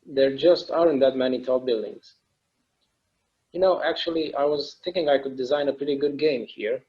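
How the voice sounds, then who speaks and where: A man's voice: mid-range voice, steady tone, normal speed, darkish tone, close to an everyday voice. A man playing an easygoing, imaginative husband in a casual stage play table read, on a slightly dull microphone. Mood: musing, eager. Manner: casual, musing, agreeable. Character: easygoing, imaginative husband who enjoys inventing games.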